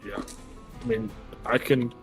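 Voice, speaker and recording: Man, mid pitch, halting rambling talk, music playing behind. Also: steady tone. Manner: rambling, halting, repeats words. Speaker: co-player. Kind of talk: casual gaming chat.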